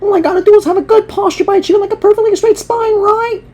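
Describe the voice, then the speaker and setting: A man's voice: very high voice, steady tone, quick pace, darkish tone. A man voicing a lanky, goofy, anxious young cartoon slacker with a whiny, cracking voice and filler-heavy slang. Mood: confused, whiny. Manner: whiny, peppers sentences with "like".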